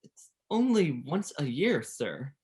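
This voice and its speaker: A man's voice: on the high side, very animated, slow pace, a little mellow. A man acting a meek, timid clerk in a radio play, hesitant and deferential.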